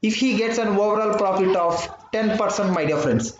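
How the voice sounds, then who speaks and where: A man's voice: sits high, normal speed, background noise. Indian man teaching math, roomy dull audio. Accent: Indian English. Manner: repeats phrases, keeps saying "my dear friends" and "understood or not". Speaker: online exam-prep math teacher. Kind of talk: live online math class.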